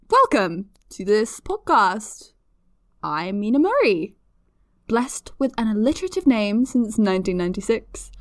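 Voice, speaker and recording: A woman's voice: mid-range voice, no room sound, studio quality. A woman playing a self-deprecating, nerdy podcaster in her twenties, rambling chattily with hesitant asides in an audio drama. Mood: upbeat, awkward. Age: 20s.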